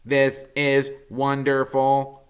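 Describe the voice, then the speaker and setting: A man voicing a gentle, earnest robot in a storybook, speaking simply and politely in measured phrases. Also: mid-range voice, completely flat delivery, smooth, voice pushed up. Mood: pleased.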